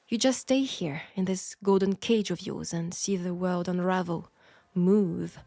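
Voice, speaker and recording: A woman with a French accent playing a proud, wry young Parisian seamstress in a scripted audio roleplay, speaking in a measured, pointed way. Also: lowish voice, dark tone, no room sound. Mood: bitter, sardonic. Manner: measured, pointed, sardonic, with sighs.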